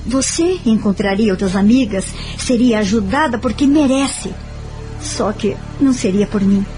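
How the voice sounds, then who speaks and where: Actress as a high-voiced, earnest Brazilian woman friend. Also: lively intonation, moderate pace, mellow, natural voice. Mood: grateful, reflective. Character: gentle, reflective woman friend recalling past suffering. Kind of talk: audio drama dialogue. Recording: music behind the voice.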